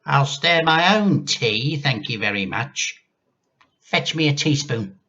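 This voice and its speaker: A man's voice: mid-range voice, lively intonation, slow pace, sharp tone, dull audio, voice pushed up, exaggerated voice. A man voicing a grumpy, bossy, selfish old grandmother in a children's storybook, complaining and snappish. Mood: demanding, snappish. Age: older.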